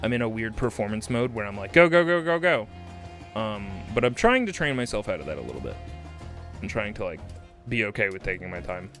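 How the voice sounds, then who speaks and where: A man's voice: on the low side, big pitch swings, brisk, a bit bright, faint music behind. A man hosting a game livestream, chatting casually and exclaiming often, with sweary asides. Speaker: livestream host.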